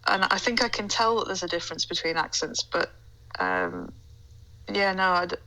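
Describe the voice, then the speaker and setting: A woman's voice: on the low side, big pitch swings, normal speed, sharp tone, rough recording. A British woman, a guest on an interview podcast, chatting casually and hesitantly, often repeating words as she begins. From United Kingdom. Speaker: podcast guest, ASMR creator.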